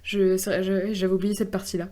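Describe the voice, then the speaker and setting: A woman with a mid-pitched voice, speaking as a panelist in a casual discussion. She thinks aloud, tentatively, correcting herself as she goes. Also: little pitch movement, a little fast, warm tone. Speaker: podcast panelist.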